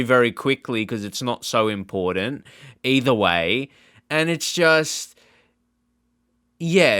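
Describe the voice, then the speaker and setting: A man's voice: mid-range voice, fairly bright tone, no room sound, studio quality. A man giving casual reaction commentary as a gaming YouTuber, thinking aloud in a hesitant, rambling way. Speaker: video game YouTuber.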